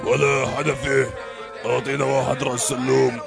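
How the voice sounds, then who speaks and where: A man playing a pushy, joking young schemer who speaks fast. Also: mid-range voice, little pitch movement, gravelly, over music, a crowd in the background.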